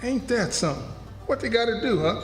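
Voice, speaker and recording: A man's voice: extremely high pitch, lively intonation, a little fast. A man playing a kindly, curious runaway with a folksy American Southern accent in a live radio drama. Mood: wondering. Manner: folksy dialect. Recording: echoey hall, music behind.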